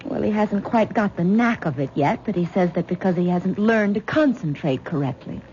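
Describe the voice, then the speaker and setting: An actress playing an anxious young wife who stammers nervously in a vintage radio drama. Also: female voice, medium pitch, animated, normal speed, a little mellow, natural voice. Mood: tense. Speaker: troubled wife. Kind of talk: 1970s radio drama acting. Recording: dull old radio broadcast with faint hiss.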